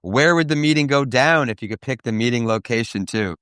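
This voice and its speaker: A man hosting an interview podcast, upbeat and full of praise, sometimes slowing down for emphasis. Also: mid-range voice, animated, a bit bright, smooth, no echo, clean studio sound. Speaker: podcast host.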